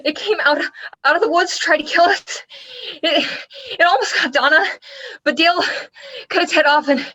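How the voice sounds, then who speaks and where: A woman acting a frightened, shaken wife and mother in a horror comic table read, distressed and halting. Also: very high voice, sharp tone, a little hiss or hum. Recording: dull lo-fi call audio.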